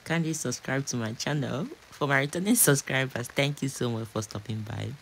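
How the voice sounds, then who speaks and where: A man's voice: medium pitch, a little fast, vocal fry, a bit bright, slight background noise. A man from Nigeria with a Nigerian accent, chatting casually and repeating phrases as a home cook narrating a cooking vlog.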